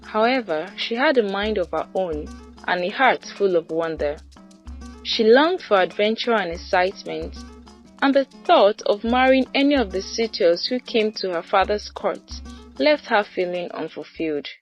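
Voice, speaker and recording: A woman's voice: medium pitch, animated, normal speed, dull audio, soft background music. A woman narrating a scripted folktale as a storyteller.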